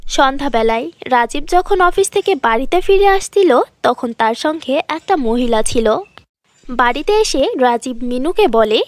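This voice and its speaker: A woman's voice: sits high, expressive, moderate pace, no echo. A woman narrating a scripted cartoon fairy tale as the storyteller.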